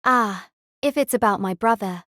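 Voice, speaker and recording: A man's voice: sits high, normal speed, a bit bright, no echo, clean studio sound, pitched above the performer's own voice. A man voicing a reserved, politely curt teenage schoolgirl in an audio novel.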